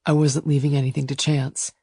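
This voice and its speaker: A woman voicing a fierce, possessive male lover in a romance audiobook, with a low growl and an emphatic, insistent delivery. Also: flat delivery, fairly quick, warm tone, breathy edge, dry recording, clean studio sound. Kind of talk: audiobook romance character dialogue.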